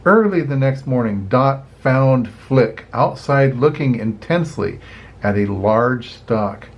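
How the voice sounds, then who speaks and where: An older man reading a children's storybook aloud in an expressive, unhurried way, adding chatty little asides. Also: medium pitch, muffled recording. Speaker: grandparent reading picture books aloud.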